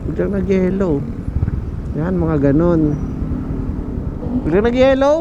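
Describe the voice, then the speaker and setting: Filipino guy w high voice just chatting on a moto intercom, tons of road noise. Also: animated, slow pace, dull audio. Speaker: motovlogger. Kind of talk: casual chat. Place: outdoors, riding a motorcycle. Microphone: helmet intercom.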